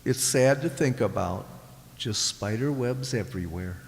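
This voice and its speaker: A man's voice: mid-range voice, animated, measured pace, gravelly. An actor playing a fretful carrot father and government official in a comic radio play, formal and gloomy in manner. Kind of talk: comic science fiction radio drama acting. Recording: echoey hall with long reverb.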